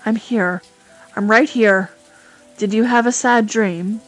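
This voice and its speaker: A woman voicing a calm, gentle young girl speaking softly and reassuringly. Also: medium pitch, takes it slowly, soft background music, lightly acted, poor audio. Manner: soft, reassuring.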